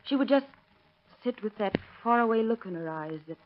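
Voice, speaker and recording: A woman's voice: on the high side, animated, moderate pace, close to an everyday voice. A woman as a coy young actress, hissy vintage radio. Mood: coy, obliging. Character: coy, agreeable young actress, eager to help. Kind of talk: 1930s radio acting. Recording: hissy, dull old radio recording.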